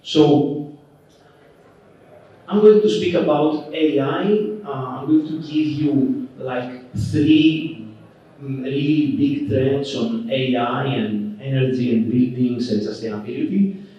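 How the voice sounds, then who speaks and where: A man with a Greek accent giving a relaxed, conversational conference keynote, dotted with "okay" and "uh". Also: medium pitch, takes it slowly. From Greece. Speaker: keynote speaker. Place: conference hall. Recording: roomy, distant, dull hall recording.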